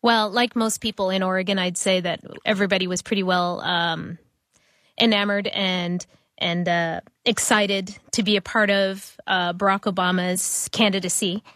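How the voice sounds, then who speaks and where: A woman with a Canadian accent speaks as a guest in a radio studio, her voice close and dry. She answers thoughtfully, pausing on fillers and restarting her sentences as she works out what to say. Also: mid-range voice, fairly even intonation, fairly bright tone, no room sound. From Toronto, Canada. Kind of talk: interview answers.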